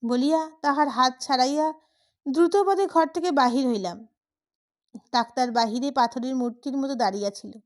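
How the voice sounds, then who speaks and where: A woman's voice: high-pitched, normal speed. A woman reading a literary short story aloud as a dramatic narration. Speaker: audiobook narrator.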